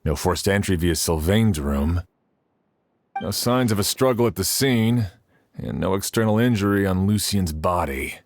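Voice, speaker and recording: Composed investigator, very low husky voice, dry close sound. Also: male voice, animated, dry recording, exaggerated voice. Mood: measured. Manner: measured, methodical questioning. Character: calm, deep-voiced male investigator. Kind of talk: game voice acting.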